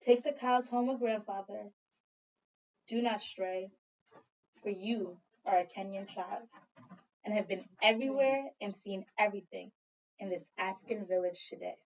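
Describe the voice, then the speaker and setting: A woman teacher doing an expressive read-aloud for children, telling the story dramatically and prompting listeners with questions. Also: mid-range voice, normal speed, long pauses. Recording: dull lo-fi sound, distant from mic.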